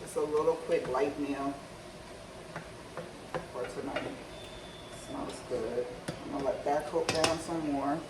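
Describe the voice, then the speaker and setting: A slightly hoarse woman chats easily while she cooks, calling her viewers y'all. She sounds set back from the mic, and her voice rings around a roomy home kitchen. Loud clatter of pots and dishes goes on behind her. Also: low voice, takes it slowly, pauses often, distant mic, very noisy. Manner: relaxed, chatty, talks to viewers as y'all. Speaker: cooking vlogger. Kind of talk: casual cooking tutorial chat.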